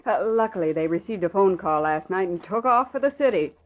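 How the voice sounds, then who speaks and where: An actress playing a chatty, excitable young girl photographer in an old radio drama, with breezy exclamations. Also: medium pitch, fairly quick, lightly acted. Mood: breezy, gossipy. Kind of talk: 1940s radio acting. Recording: muffled old radio recording.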